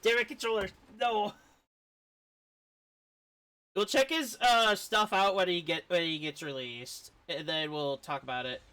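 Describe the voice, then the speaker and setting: A man casually commentating on a video game as he streams, reacting aloud and excitably over game music. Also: high voice, unhurried, pauses often. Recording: music behind the voice.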